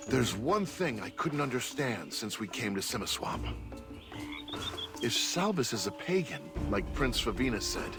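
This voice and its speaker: Casting: earnest male companion in a game; mid-pitched, lively, questioning, music behind. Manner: questioning, hesitant. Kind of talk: video game cutscene acting. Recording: music under the voice.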